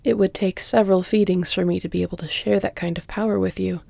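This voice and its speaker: Close and very dry, this is a seductive vampire lady performed by a woman for an audio roleplay. Her voice is dark and mellow with a gravelly creak, often sinking toward a whisper. She speaks formally and deliberately, teasing as she goes. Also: mid-range voice, fairly even intonation, no room sound. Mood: seductive, hesitant. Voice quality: breathy, hushed, often near whisper. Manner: slow, deliberate, formal, teasing, breathy delivery. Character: flirtatious, seductive, elegant female vampire. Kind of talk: intimate audio roleplay, scripted. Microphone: close, dry microphone.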